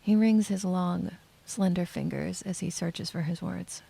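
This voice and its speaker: A woman playing a lonely, shaken young woman in hospital, narrating her own story in a soft, breathy, hushed and dramatic way. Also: lowish voice, a little slow, full character voice. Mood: wondering, introspective. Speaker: narrator and lead character. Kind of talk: first-person audio drama narration.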